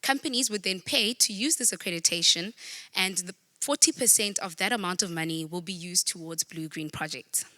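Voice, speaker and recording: A young South African woman presents a prepared pitch. Her voice sits a little low for a woman and has a bright, forceful edge. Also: fairly quick. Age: young adult. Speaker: student pitching a project.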